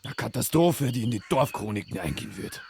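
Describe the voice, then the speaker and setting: An actor playing an anxious older village mayor with a Bavarian accent, stumbling and repeating words. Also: male voice, mid-range voice, lively intonation, a little fast, vocal fry, darkish tone, slight background noise. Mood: agitated. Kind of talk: game character dialogue.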